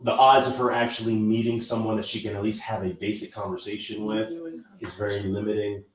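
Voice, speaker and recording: A man's voice: on the low side, flat delivery, fairly quick, roomy sound, distant mic, a little hiss or hum, poor audio. A man hosting a podcast, lecturing in an emphatic, preachy way during a casual discussion. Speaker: podcast host.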